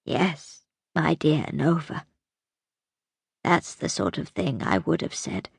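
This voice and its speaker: A woman voicing a frail, sorrowful old great-grandmother in an audiobook story, speaking softly, hesitantly and wistfully. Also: low-pitched, sing-song, takes it slowly, creaky, husky, dry recording, studio quality, pitched below the performer's own voice, exaggerated voice. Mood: gentle, hushed. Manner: hesitant, soft-spoken, wistful.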